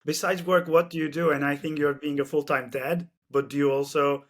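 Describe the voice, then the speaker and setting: Guy hosting a podcast, chatty, kinda high voice. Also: flat delivery, fairly quick, a little hiss or hum. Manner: friendly and conversational, asks easy questions. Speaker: podcast host. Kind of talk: podcast interview.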